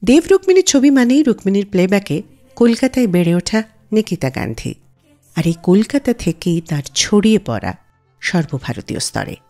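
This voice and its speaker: A woman's voice: medium pitch, big pitch swings, a little fast, mellow. A woman with an Indian Bengali accent presenting a scripted film music radio show as its host.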